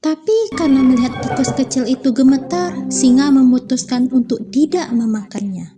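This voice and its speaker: A young woman from Indonesia, a student, calmly narrating a children's fable. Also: high-pitched, moderate pace, over music. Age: young adult. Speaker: student storyteller. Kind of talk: scripted children's story narration.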